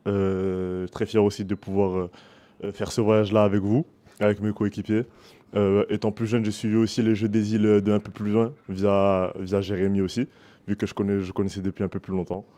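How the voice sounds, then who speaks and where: A man from France introducing himself as a basketball player, calm and hesitant. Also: lowish voice, a little fast. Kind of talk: self-introduction.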